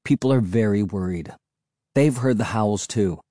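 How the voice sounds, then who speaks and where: Man playing a quiet, grim, firm dad. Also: no echo, studio quality, natural voice. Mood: grave. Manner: low, quiet, measured. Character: calm, grim, firm father of a young boy.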